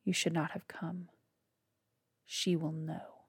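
A woman voicing the stern ghost of an old father, speaking in hushed, grave warnings. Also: deep voice, level tone, takes it slowly, slightly rough, dry recording, clean studio sound, exaggerated voice.

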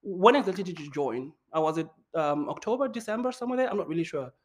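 A man's voice: high-pitched, expressive. Upbeat chatty man hosting. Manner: upbeat, chatty, quick questions. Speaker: podcast host. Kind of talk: casual interview chat. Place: China.

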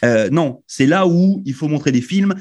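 European-born radio host, fairly high voice, passionate and halting. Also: male voice, animated, a little mellow. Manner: emphatic, hesitant with many fillers. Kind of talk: radio panel discussion. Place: radio studio.

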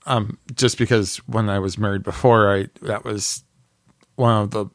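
A man's voice: fairly low pitch, steady tone, normal speed, no echo. A man co-hosting a podcast in casual chat, with wry, dry humor. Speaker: podcast co-host.

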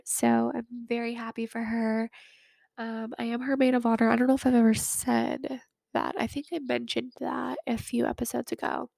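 Nasal young woman podcaster, gravelly and flat. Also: fairly high pitch, no room sound. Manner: chatty, fillers like "like" and "um". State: sick, recovering from a cold. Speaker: podcast host. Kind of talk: casual chat.

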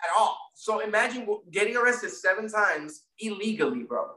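A man with a background talks in a high, bright voice, restarting sentences as he goes. He sits some way from the mic in a room with a strong echo. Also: expressive, a little fast. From Puerto Rico, raised in Florida. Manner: rambling, repeats and restarts often. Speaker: guest, First Amendment auditor. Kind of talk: casual interview chat. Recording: echoey room, distant mic.

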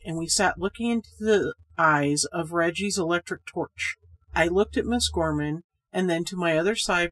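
A woman's voice: fairly low pitch, rough recording. A woman reading a scripted ghost story aloud as the narrator. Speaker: story reader, podcast host.

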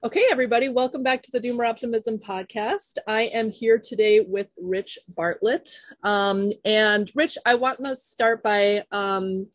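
A woman hosting a podcast, asking short, relaxed questions in an easy conversational way. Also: on the high side, moderate pace, few pauses, dry recording. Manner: relaxed, brief prompting questions. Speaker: podcast host. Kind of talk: casual interview.

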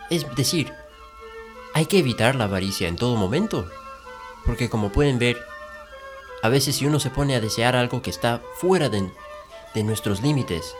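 A man's voice: mid-range voice, expressive, moderate pace, long pauses, over music. A man co-hosting, earnestly explaining the moral of a fable with some restarts. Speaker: co-host.

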